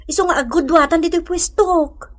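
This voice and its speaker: A woman's voice: very high-pitched, normal speed. A woman playing a kindly, chatty market stallholder in a radio comedy drama, with an Ilocano accent. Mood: encouraging.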